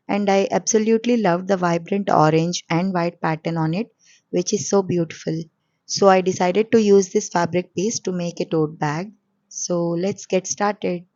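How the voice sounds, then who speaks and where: Hoarse, coarse-voiced woman host; calm step-by-step tutorial narration, slightly low, dry and muffled. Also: no room sound. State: hoarse from a cold. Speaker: sewing channel host. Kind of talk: voice-over sewing tutorial.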